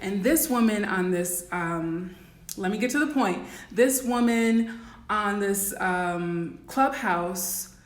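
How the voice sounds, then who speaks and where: A woman with a raspy voice giving blunt, instructive coaching advice in a casual livestream talk, full of "um" and "like". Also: medium pitch, animated, takes it slowly, reverberant. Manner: blunt, instructive, many "um" and "like" fillers. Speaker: dating and life coach.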